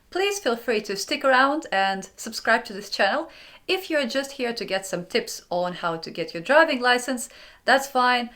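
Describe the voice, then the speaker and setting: A young woman from Ukraine, hosting her own channel, gives friendly step-by-step advice in a clear, upbeat way. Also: a little high, a bit bright. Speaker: channel host.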